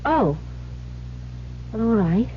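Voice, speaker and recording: Over a dull, faintly noisy old radio recording comes a young bride with a high voice. She is frightened and wary yet resolved to escape, played in old-time radio drama style. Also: big pitch swings, slow pace. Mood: wary, hesitant.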